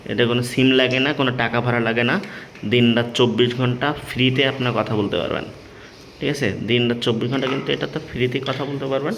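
A man's voice: medium pitch, steady tone, a little fast, creaky, a bit bright, a little hiss or hum. A man with a Bangladeshi accent giving a casual, unscripted product demonstration as a seller, explaining repetitively and often checking that he is understood. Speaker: electronics seller presenting a product tutorial.

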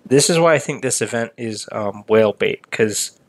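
Slightly raspy man explaining a mobile game. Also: fairly low pitch, moderate pace. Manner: relaxed, many ums, explains step by step. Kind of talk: casual game walkthrough commentary.

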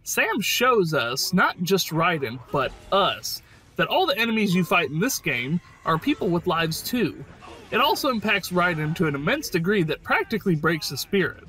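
A man's voice: sits high, sing-song, normal speed, bright, forceful tone. A man hosting a video, reading scripted commentary on a game. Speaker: video essay host.

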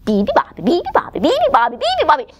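A tiny baby bird from a children's storybook, voiced by a woman reader. It is chirpy and cheerful, pitched very high. Its sing-song delivery bounces up and down. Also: huge pitch swings, racing. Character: tiny cheerful wide-awake baby bird, singing relentlessly.

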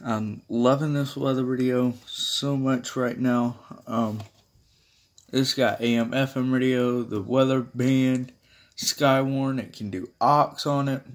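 A man chatting casually and haltingly as he films a home vlog. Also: mid-range voice, steady tone. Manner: halting, informal. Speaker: video creator showing a weather radio collection. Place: small room at home, indoors. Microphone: handheld phone or camera held close.

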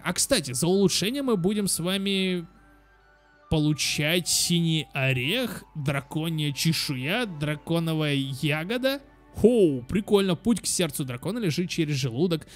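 Chatty higher-voiced man commentating a game. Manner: chatty, thinks aloud, repeats words, exclaims. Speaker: gaming channel host. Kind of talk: casual let's play commentary for children. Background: game music.

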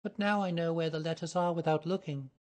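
A man's voice: a little high, fairly even intonation, a little fast, voice pushed up, natural voice. A man reading the part of a flustered, chatty young woman client who rambles eagerly in a raised voice. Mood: startled. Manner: eager, rambling, breathless pleading. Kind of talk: audiobook character reading.

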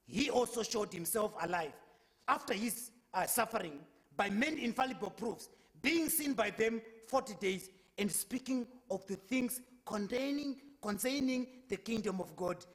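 Young guy preaching in a lecture hall, kinda high voice, quotes bible verses into the lectern mic. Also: lively intonation, normal speed, a bit bright. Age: young adult. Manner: earnest, quotes verses at length, repeats words when restarting. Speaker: preacher in a public debate. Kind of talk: scripture-quoting debate address. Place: lecture hall, at a lectern before a brick wall. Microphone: lectern microphone.

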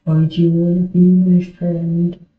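Girl gamer chatting in game, kinda low monotone voice, short halting questions, roomy muffled sound. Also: soft background music. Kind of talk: casual game voice chat.

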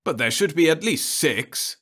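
A man's voice: a little high, lively intonation, a little slow, fairly bright tone, slightly rough, pitched above the performer's own voice, exaggerated voice. A man voicing a blustering older male official who thunders indignant questions.

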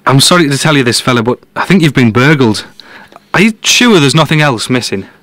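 A man's voice: mid-range voice, expressive, a little fast, fairly bright tone, no room sound, natural voice. A man playing a down-to-earth, matey local detective guesting on a podcast. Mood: matey, blunt. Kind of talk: conversational audio drama acting.

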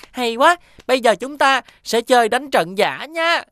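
A woman's voice: high voice, unhurried, dry recording. A woman voicing a playful, careless young boy who chatters eagerly in a dubbed cartoon. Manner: eager, excitable child's chatter. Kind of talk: cartoon dubbing.

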